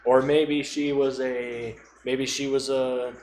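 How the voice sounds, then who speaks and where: A man talks casually on a podcast, thinking out loud in a halting way. His voice sits at a middling pitch in a roomy, echoing space, with faint noise underneath. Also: flat delivery. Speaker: podcast co-host.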